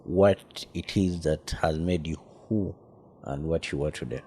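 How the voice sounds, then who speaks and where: A man asks short interview questions in a very deep voice. Between the answers he murmurs agreement softly and keeps his own turns brief. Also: unhurried, slight background noise. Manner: quiet, frequent murmured agreement.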